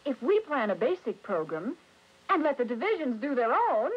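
A woman's voice: high voice, big pitch swings, normal speed, slightly rough, full character voice. An American woman playing a keen creative team member in a staged 1960s industrial film. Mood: eager. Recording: noisy, dull vintage film soundtrack.

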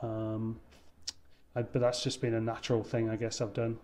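A young man talking casually as a guest on a video call, wearing a headset, often pausing with ums and restarting his sentences. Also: lowish voice, monotone, a little mellow. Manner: hesitant, many ums and restarts. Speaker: wine content creator guest. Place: indoors, room with wine shelves. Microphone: over-ear headset on video call.

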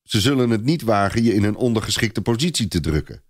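A man's voice: on the low side, animated, fast, husky, no room sound, studio quality. A man voicing a soothing, matter-of-fact male adviser to a knight in an audio story. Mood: soothing, sober.

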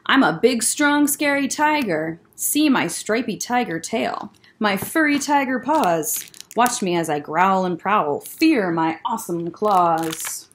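A woman voicing a small, plucky, boastful male kitten in a children's picture book, with dramatic drawn-out delivery. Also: mid-range voice, lively intonation, a little hiss or hum. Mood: boastful, brave.

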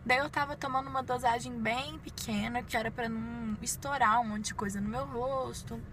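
A woman's voice: on the high side, fairly even intonation, moderate pace. A Brazilian woman in her twenties chatting informally to viewers inside a parked car, busy with her makeup as she talks. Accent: Brazilian Portuguese. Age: 20s. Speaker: beauty vlogger. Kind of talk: casual chat to viewers while doing makeup. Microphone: phone at arm's length. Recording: clear vehicle and ambient noise.

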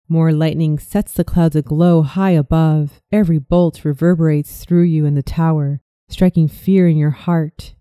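A narrator reading a scripted guided visualization story in a soothing, measured manner. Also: mid-range voice, dark tone, dry recording.

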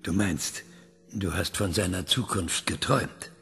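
A proud, obsessive older scientist, played by a man in a radio play, questioning his machine in a calm, measured way. His voice is very raspy and gravelly, slow, with a long hall reverb trailing behind it. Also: deep voice, faint music behind, exaggerated voice. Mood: probing.

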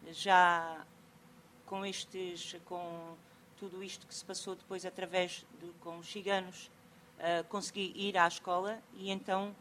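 A woman from Portugal with a Portuguese accent, speaking at a conference, halting at times as she looks for words. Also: lowish voice, slight background noise. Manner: halting, pausing to find words.

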